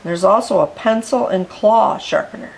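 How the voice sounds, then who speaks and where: A woman reading a picture book aloud to children in an expressive way, pausing to explain words. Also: medium pitch, gravelly, a little hiss or hum, poor audio. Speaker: storyteller.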